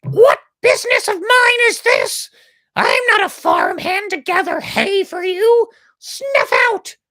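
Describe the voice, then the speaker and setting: Shrill, bratty little male half-chick. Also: lively intonation, slow, creaky, sharp tone, no echo, studio quality, heavily acted. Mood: scornful. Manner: shrill, melodramatic.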